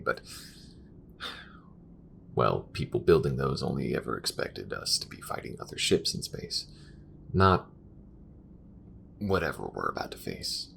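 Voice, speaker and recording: Man acting a very deep, gravelly weary spacefarer. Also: a bit bright, a little hiss or hum, heavily acted. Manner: halting, conversational, muttering at times. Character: weary, grudging male spaceship crewman, caring but sarcastic. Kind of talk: intimate audio roleplay acting.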